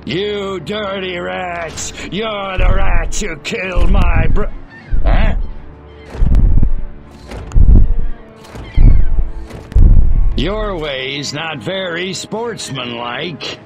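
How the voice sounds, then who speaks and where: An actor voicing a cocky, sarcastic, bloodthirsty little alien invader with a mocking, wisecracking delivery in a video game. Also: male voice, sits high, slow pace, music in the background. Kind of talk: cartoonish video game cutscene acting.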